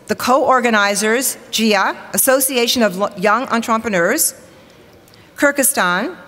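A woman's voice: fairly high pitch, a bit bright. Upbeat woman emcee in a reverberant hall. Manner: upbeat, rallying the audience. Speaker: emcee, TV weather reporter. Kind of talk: formal welcome speech at a reception. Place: large hall, at a lectern on stage. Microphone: lectern microphone.

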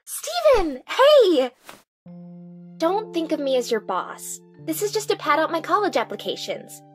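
A woman voicing a brisk, businesslike fifteen-year-old girl boss in a fan cartoon, articulate and officious. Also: very high voice, very animated, faint music behind, exaggerated voice. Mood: surprised.

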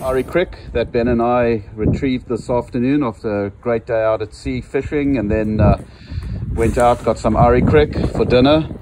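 A man's voice: mid-range voice, normal speed, background noise. A middle-aged South African man chatting cheerfully to an action camera outdoors by the sea. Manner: upbeat, chatty, speaks to camera. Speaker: fishing and diving vlogger. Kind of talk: casual outdoor commentary. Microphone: action camera at arm's length.

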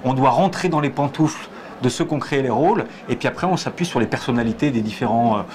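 A man's voice: mid-range voice, quick pace, a little mellow, a little hiss or hum. A man in his forties, a stage director, answering interview questions thoughtfully with many restarts, seated in a theatre auditorium on a lapel mic. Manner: hesitant, many repeated starts, gestures while talking. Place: theatre auditorium, in a box seat. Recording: busy crowd chatter behind. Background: crowd chatter.

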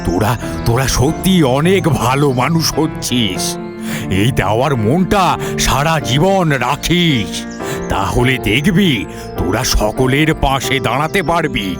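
A man voicing a kindly, protective old grandfather in an animated moral story, speaking haltingly and repeating words. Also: mid-range voice, music in the background. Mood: warm, encouraging. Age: older.

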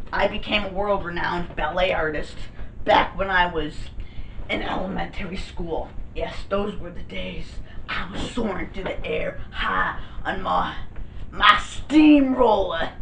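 A man's voice: high voice, gravelly, fairly bright tone, slight background noise, exaggerated voice. A teenage boy playing a silly, pompous celebrity chef with a put-on fake accent, giggling at his own jokes. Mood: theatrical. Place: bedroom with gaming desk. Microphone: far camera. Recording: echoey room from far off.

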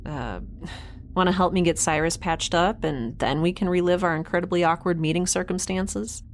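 A woman's voice: on the low side, moderate pace, a little hiss or hum, close to an everyday voice. A woman acting a flustered, sardonic young scientist stranded in space, in a conversational, rambling audio-drama style. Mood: wry, defensive. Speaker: narrator and lead of a sci-fi audio drama.

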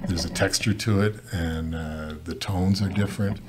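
Deep-voiced older Canadian man, rambling. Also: steady tone, normal speed, noisy background. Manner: rambling, frequent restarts and fillers. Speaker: guest photojournalist. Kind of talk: casual interview talk. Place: studio set with brick wall. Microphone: desk mic on stand.

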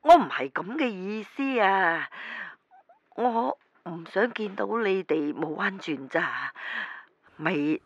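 A woman playing a worried, conciliatory older mother in a radio drama, speaking haltingly. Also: medium pitch, animated, no echo, lo-fi sound. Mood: gently pleading. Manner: hesitant, stammering, placating. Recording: music playing behind the voice. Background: music.

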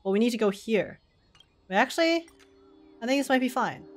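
A woman's voice: on the high side, expressive, a little fast, faint music behind. A woman streamer giving casual, joking live commentary as she reacts to a video game. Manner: wry, joking.

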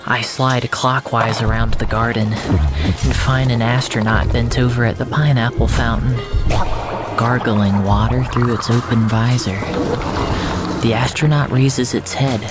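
Guy playing a solemn storyteller for a weird fiction podcast, super slow and literary, music really loud behind him. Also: mid-range voice, natural voice. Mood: solemn, intense. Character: solemn first-person narrator of a surreal battle. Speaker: narrator. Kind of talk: scripted surreal fiction narration.

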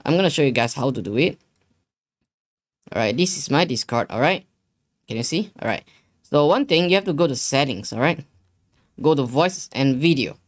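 Young male tutorial host, medium pitch, casual, repetitive and halting delivery, non-native phrasing. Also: animated. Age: young adult. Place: living room gaming setup at home.

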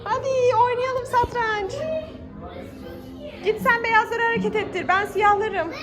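A woman's voice: very high-pitched, steady tone, unhurried, a crowd in the background. A woman hosting a children's play vlog as a mother, coaxing the kids and talking to viewers with exclamations and many questions. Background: music.

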